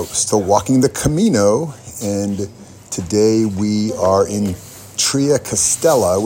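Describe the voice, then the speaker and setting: A low-pitched man hosting a radio segment outdoors in a village. He asks pilgrims short, plain questions. He pauses often as he thinks. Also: warm tone, slight background noise. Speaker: radio host. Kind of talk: field interview on a walking trail.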